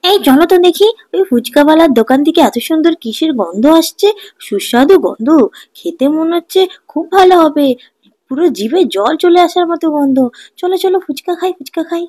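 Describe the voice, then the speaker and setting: A woman acts an excitable, outspoken village customer in a cartoon fairy tale. The voice is high, emphatic and full of exclamations. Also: brisk. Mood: delighted, eager.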